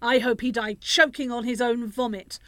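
A woman's voice: on the high side, steady tone, normal speed, a bit bright, dry recording, lightly acted. A woman playing a bitter, harsh older widowed mother in a radio play. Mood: venomous.

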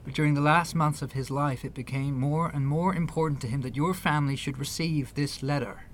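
A man's voice: on the high side, fairly even intonation, a little fast, a little hiss or hum, lightly acted. An actor playing a patient, persistent young man in a radio play, earnest and placating.

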